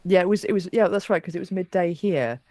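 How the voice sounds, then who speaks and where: A woman interviewer in casual, chatty talk, halting at times and acting out reactions as she tells things. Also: on the low side, slight background noise. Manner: animated, halting, acts out quoted reactions.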